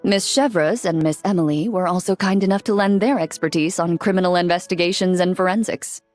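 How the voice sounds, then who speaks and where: A woman plays a composed young game heroine with a slightly low voice. She is dryly teasing and wry, with music playing softly behind. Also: a little fast, lightly acted. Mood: wry amusement. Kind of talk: anime video game voice acting.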